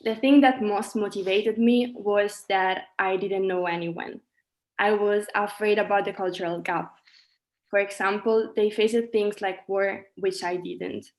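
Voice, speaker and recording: Italian girl early 20s telling her story, thoughtful, echoey room. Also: medium pitch. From Italy. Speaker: student speaker. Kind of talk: prepared personal testimony.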